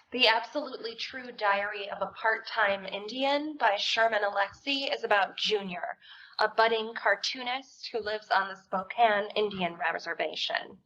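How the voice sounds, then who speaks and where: A woman's voice: medium pitch, fairly bright tone. A woman presenter reading a scripted introduction in a formal announcing manner. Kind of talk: scripted introduction read aloud. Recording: slightly muffled room recording.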